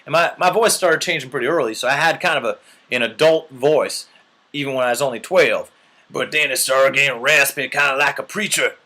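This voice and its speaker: A man's voice: mid-range voice, fairly quick, bright, forceful tone. Earnest rambling male storyteller. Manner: earnest, rambling, keeps asking "right?". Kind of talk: rambling mock-serious storytelling.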